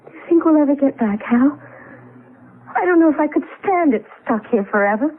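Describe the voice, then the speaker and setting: An actress in a 1970s radio drama playing an anxious, hesitant wife stranded in the past. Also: female voice, sits high, animated, brisk. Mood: fretful. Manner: hesitant, fretful. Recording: narrow-band tinny vintage radio recording.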